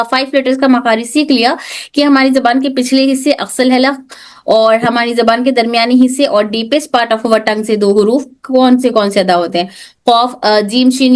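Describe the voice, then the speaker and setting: A woman teaching an online class who asks questions and then answers them herself, mixing English terms into her explanations. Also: fairly high pitch. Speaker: tajweed teacher.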